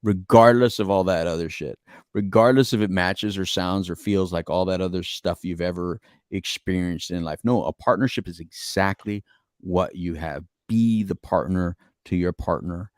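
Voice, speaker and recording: Middle-aged American male podcast co-host, deep register, earnest, rambling and repetitive, close dry sound. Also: normal speed, no echo. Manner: earnest, rambling, repeats phrases for emphasis. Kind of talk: casual reflective relationship talk.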